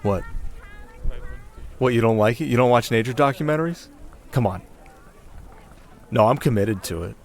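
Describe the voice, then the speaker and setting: A man's voice: medium pitch, normal speed, long pauses, natural voice. A man playing a cocky, playful young winter spirit in an ASMR roleplay, talking casually with sarcastic, teasing banter. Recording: clear outdoor ambience noise behind voice.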